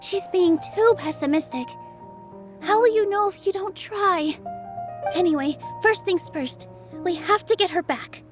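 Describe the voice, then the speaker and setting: An actress voicing a kind young female stage dancer in a game cutscene, speaking in a gentle, reassuring way. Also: extremely high pitch, unhurried. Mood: calm, caring. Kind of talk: video game cutscene dialogue. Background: game soundtrack music.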